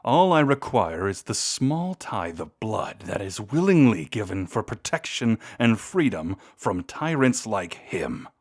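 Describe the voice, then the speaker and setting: A man voicing a proud, seductive vampire lord in a scripted audio roleplay, speaking in a theatrical, deliberate and intimate way. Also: on the low side, big pitch swings. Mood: indignant, commanding. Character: seductive, menacing vampire lord, proud and secretly tender toward lost love.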